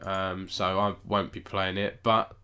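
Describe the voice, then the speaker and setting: A man with a lowish voice and a stuffy, nasal tone from a cold. He hosts a relaxed live-stream news roundup, rambling, correcting himself and chatting with viewers as he goes. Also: unhurried, smooth. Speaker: esports news host.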